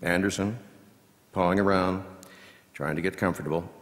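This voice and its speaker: A man playing a seasoned, folksy baseball radio announcer, giving play-by-play with measured asides that break into punchy, drawn-out calls. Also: sits low, steady tone, close to an everyday voice. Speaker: reader performing a scripted baseball commentary. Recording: long hall reverb from live venue.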